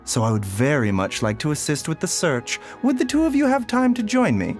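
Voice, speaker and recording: An actor playing a gentle, composed doctor in a video game, polite, formal and soothing. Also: male voice, a little high, sing-song, a little fast, soft background music. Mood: thoughtful, courteous. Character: gentle, courteous doctor, soft-spoken and composed.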